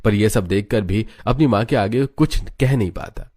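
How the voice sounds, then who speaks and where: A man's voice: fairly low pitch, moderate pace, a little mellow, no room sound, clean studio sound. A man narrating a scripted story as its storyteller.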